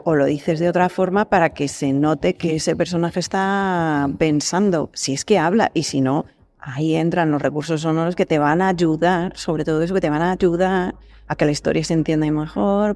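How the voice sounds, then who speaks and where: A woman's voice: low voice, fairly even intonation, moderate pace, a little mellow. A woman with an accent from Spain, talking casually and expressively as a podcast guest, explaining things through examples. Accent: Spanish from Spain. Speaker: voice actress guest.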